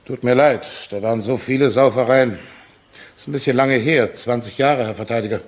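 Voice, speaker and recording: A man playing an offhand, impatient middle-aged witness in a German crime radio drama, husky, casual and curt. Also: mid-range voice, a little fast, lightly acted. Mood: evasive, offhand.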